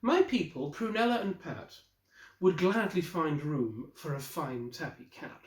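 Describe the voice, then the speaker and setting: A man voicing a kindly, gentle female cat from a storybook in a deliberate way. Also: on the high side, expressive. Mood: kind offer. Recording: roomy, echoey indoor recording.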